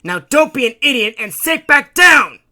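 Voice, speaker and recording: A man's voice: very high-pitched, animated, normal speed, bright, forceful tone, pitched above the performer's own voice, heavily acted. A man voicing a bullying sailor in an adventure story, barking threats. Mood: angry. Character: gruff, bullying sailor in a lifeboat.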